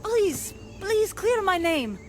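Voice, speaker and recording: A woman's voice: very high-pitched, very animated, slow pace, darkish tone, full character voice. An actress playing an anxious, starstruck young woman in a video game, gushing and pleading.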